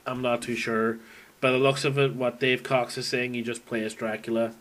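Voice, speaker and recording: A man's voice: medium pitch, level tone, normal speed, fairly bright tone. A man giving a casual, unscripted monologue as a fan, rambling and thinking aloud as he goes. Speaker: video game fan recording an audio log.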